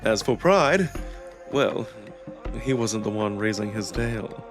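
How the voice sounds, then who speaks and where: A man voicing a suave young jackal prince who speaks in a measured, smug, theatrically polite way. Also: fairly low pitch, expressive, over music, voice pushed down. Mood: calm, calculating.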